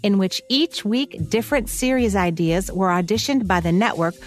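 A woman's voice: medium pitch, animated, moderate pace, faint music behind. A woman co-hosting a podcast, reading a scripted narration on radio history. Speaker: podcast co-host.

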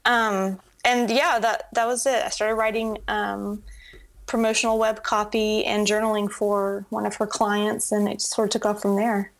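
A woman's voice: mid-range voice, normal speed. A middle-aged woman, a web entrepreneur guest, chatting casually in an interview and acting out her own past thoughts as she talks. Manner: chatty, animated storytelling with acted quotes.

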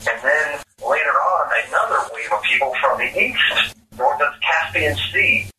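A man lectures as a guest expert, eager and explanatory. His voice is sharply bright and forceful. He sounds a little distant, caught in an echoey room. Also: on the high side, expressive, moderate pace, a little hiss or hum. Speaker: guest scientist. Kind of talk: explanatory interview answers.

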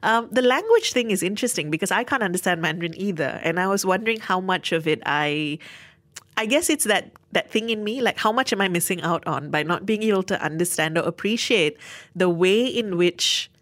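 A woman's voice: mid-range voice, animated, fairly quick, a bit bright, no echo. A woman talking through her thoughts on a stage play in a casual review chat, thoughtful and articulate. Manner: thoughtful, articulate, searching for words. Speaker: theatre reviewer.

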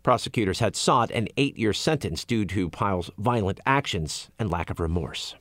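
An American man hosting radio news, reading scripted stories in a measured, explanatory way. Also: lowish voice, animated, fairly bright tone, dry recording, studio quality.

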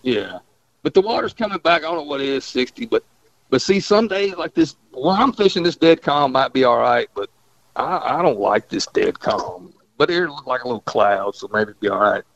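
A gravelly man calling in over a dull-sounding phone line. He rambles in a drawl and clears his throat a lot. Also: mid-range voice, animated, normal speed. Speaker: fishing guide calling in. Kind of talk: casual phone chat.

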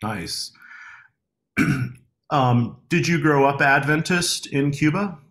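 A man hosting a podcast interview, asking questions in a relaxed, hesitant way with many fillers and restarts. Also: a little high, takes it slowly. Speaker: podcast host. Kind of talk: conversational interview.

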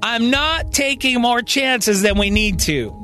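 A man voices a female team leader in a science-fiction story podcast. She speaks in a raised pitch, firm and matter-of-fact, with easy authority. Faint music sits underneath. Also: lively intonation, takes it slowly, bright, forceful tone, exaggerated voice. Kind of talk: dramatised audio fiction storytelling.

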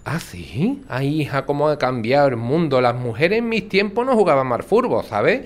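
A man's voice: medium pitch, big pitch swings, normal speed, natural voice. Guy doing a sweet chatty old sevillian granny in an audio drama, folksy and curious. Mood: amazed, friendly. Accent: Sevillian Andalusian. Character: chatty, kindly, curious elderly Sevillian grandmother. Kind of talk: naturalistic audio drama dialogue.

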